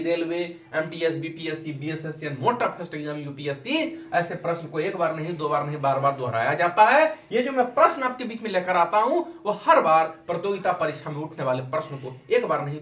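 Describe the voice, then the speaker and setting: A man's voice: high-pitched, a bit bright. An Indian man teaching an exam-prep quiz lesson as host, speaking emphatically and repeating questions and answers for his students. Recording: echoey room with faint background noise.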